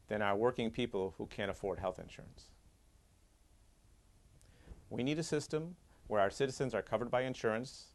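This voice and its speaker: A man in his fifties, a surgeon, giving an earnest, measured scripted appeal from his office. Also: fairly low pitch. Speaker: head and neck surgeon. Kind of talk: scripted advocacy monologue. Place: doctor's office with diplomas.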